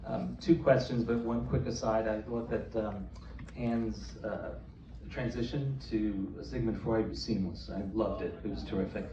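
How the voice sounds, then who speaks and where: A man in the audience asks the creators about the play, eager but hesitant, with frequent fillers. He sounds distant and echoey. Steady background noise runs beneath him. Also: on the low side, measured pace, highs cut off. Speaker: audience member. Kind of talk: asking questions at a talkback. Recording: echoey, distant, noisy room recording.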